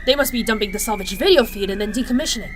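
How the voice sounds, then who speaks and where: A woman's voice: mid-range voice, rapid-fire, natural voice. A woman playing a bold, practical accomplice who makes dry quips in a sci-fi audio drama. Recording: faint noise with vehicles and sirens.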